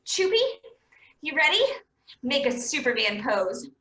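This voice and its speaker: Woman playing a high, feisty lady cop, echoey. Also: big pitch swings, a little slow, bright, forceful tone, heavily acted. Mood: determined. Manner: emphatic, comedic. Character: feisty defiant female police officer, plucky. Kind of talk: staged play reading.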